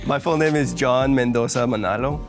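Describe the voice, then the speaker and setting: An easygoing man raised in Canada, chatting as a contestant on a panel video. His voice sits at middle pitch, with loud music playing under the clip. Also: brisk. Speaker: game show contestant.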